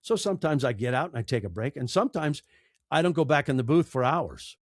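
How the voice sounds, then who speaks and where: A man's voice: medium pitch, lively intonation, brisk, no echo, clean studio sound. A middle-aged American man giving candid, conversational interview answers in an earnest, emphatic, emotional way. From United States. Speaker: interview guest, audiobook narrator and podcaster.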